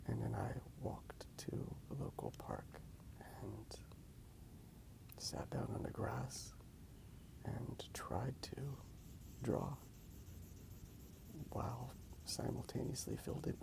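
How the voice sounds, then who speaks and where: Guy doing asmr rambles, super slow and soft, really crackly breathy voice, kinda halting. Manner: unhurried, halting, low-key rambling. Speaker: host of a drawing ASMR channel.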